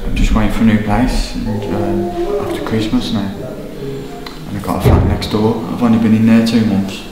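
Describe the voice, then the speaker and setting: A man in his thirties, a hostel resident speaking haltingly with many fillers in a documentary interview. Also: fairly low pitch, little pitch movement. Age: 30s. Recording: echoey hall with music behind.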